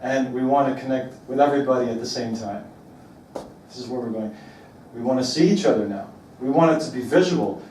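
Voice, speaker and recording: A man's voice: mid-range voice, mellow, a little hiss or hum. Belgian man presenting in an echoey room. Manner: rhetorical questions, emphatic slowed stresses. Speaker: speaker giving a talk. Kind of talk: presentation to an audience. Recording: roomy echo from far off, faint ambience.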